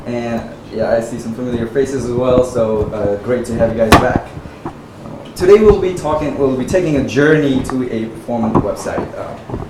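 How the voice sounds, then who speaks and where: Guy doing a meetup talk, chatty w lots of uhs, roomy echo, kinda far from the mic. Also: medium pitch. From Nepal. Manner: conversational, frequent "uh" and "right?" tags. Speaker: conference speaker. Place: meetup venue, audience present. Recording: echoey room from far off, noisy.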